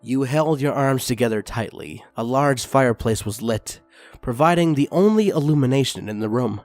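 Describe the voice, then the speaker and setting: A man narrating a fan fiction story aloud in a steady, expressive reading voice. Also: mid-range voice, normal speed, faint music behind.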